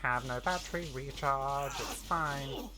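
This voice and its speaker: A woman's voice: a bass voice, slow. A woman giving casual gameplay commentary, muttering reactions and repeating phrases to herself as she plays. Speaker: gaming streamer.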